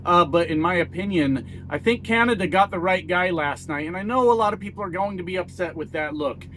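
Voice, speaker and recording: A man's voice: high-pitched, fairly quick, fairly bright tone, a little hiss or hum. A middle-aged American man giving blunt, casual political commentary, folksy and self-mocking, swearing freely. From United States. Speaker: YouTube commentator.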